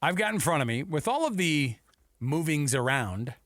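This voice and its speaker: A man's voice: on the high side, very animated, moderate pace, fairly bright tone, dry recording. A man hosting a sports radio show with casual, teasing banter. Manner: playful, teasing.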